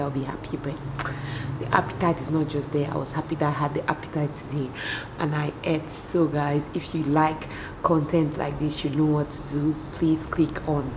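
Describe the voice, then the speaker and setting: Hoarse woman, weary casual vlog talk, lowish voice, noisy background. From Cameroon. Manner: tired, talking to viewers as "guys". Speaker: lifestyle vlogger.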